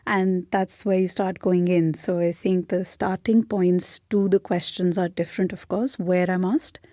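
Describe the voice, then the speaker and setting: Reflective Indian woman with a low, mellow voice. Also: little pitch movement, a little slow, no echo, studio quality. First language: Hindi. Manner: thoughtful, searching, with frequent restarts. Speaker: podcast guest. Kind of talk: reflective conversational interview.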